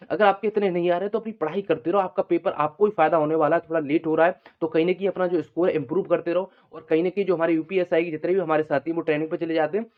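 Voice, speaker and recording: Male video host, slightly high voice, rapid informal delivery, repetitive explaining. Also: phone-quality sound. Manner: repetitive, explanatory, frequent check-in tags. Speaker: exam-news video host, coaching aspirants. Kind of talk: informal unscripted exam update monologue.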